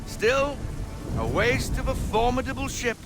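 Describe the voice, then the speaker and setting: An actor playing a hearty, irreverent old ship's mate in a video game, jaunty and wry. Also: male voice, very high-pitched, big pitch swings, measured pace, full character voice. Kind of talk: game cutscene dialogue. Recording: loud music over the voice.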